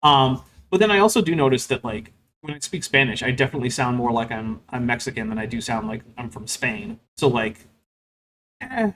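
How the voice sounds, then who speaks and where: A man's voice: medium pitch, lively intonation, brisk, a bit bright, a little hiss or hum, poor audio. A man hosting a stream, reading super chats and commenting on a clip in a casual, wry way, filling his talk with "like" and "um". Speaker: host.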